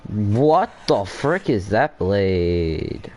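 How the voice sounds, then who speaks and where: A man's voice: fairly low pitch, sing-song, extremely slow, a little mellow, a little hiss or hum. A young man giving casual gameplay commentary, offhand and reacting aloud as he talks back to the game. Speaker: gaming video creator.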